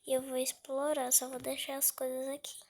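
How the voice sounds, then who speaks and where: A woman's voice: sits high, completely flat delivery, fairly quick, a little mellow, slight background noise. A woman with a cold, giving casual gameplay commentary; she is chatty and easily startled, and often drops to a whisper. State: has a cold. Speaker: gaming channel host.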